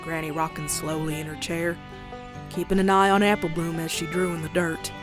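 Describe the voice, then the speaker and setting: A woman's voice: low-pitched, fairly even intonation, a little fast, music in the background, natural voice. A woman voicing a plucky young country farm pony in a horror comic dub, with a folksy Southern US drawl. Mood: subdued. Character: young country farm mare, plucky, teasing, later uneasy narrator. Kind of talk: dramatic comic dub with narration.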